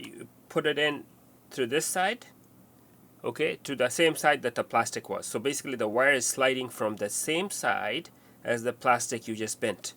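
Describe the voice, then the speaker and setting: A man giving an unscripted, step-by-step hands-on tutorial, speaking haltingly and often repeating or rephrasing his instructions. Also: mid-range voice, bright, forceful tone. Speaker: repair tutorial presenter.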